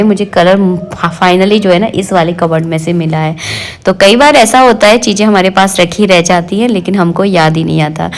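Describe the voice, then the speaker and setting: A middle-aged woman with a hoarse voice, chatting homely and casually in a home cooking and daily-life vlog from her kitchen. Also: mid-range voice. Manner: homely, chatty, fond of the filler "jo hai na". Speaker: home vlogger.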